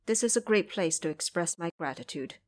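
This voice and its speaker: A woman voicing a polite, humble and devout male monk on pilgrimage, speaking in a courteous, measured, reverent way. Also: fairly low pitch, expressive, pitched below the performer's own voice, natural voice. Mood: devout, grateful.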